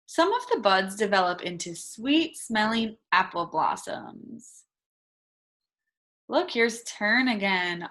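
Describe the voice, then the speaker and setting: Very slow-paced woman reading to children. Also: a little high, fairly bright tone. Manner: simple short sentences, prompting with questions and answering them; instructional, emphasising key words slowly for children. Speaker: teacher reading aloud to young pupils. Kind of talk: interactive children's read-aloud.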